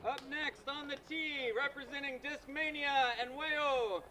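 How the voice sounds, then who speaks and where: A man's voice: very high-pitched, fairly even intonation, a little slow, sharp tone, a little hiss or hum. A man at the tee box outdoors, announcing each player in turn. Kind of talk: player introductions. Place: outdoors at the course.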